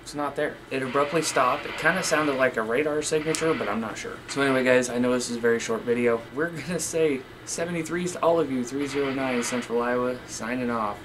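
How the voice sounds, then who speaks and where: A man's voice: medium pitch, a little fast, hardly any pauses, background noise. A man chatting casually to viewers as a radio hobbyist, informal and plain-spoken. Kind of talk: casual vlog talk to viewers.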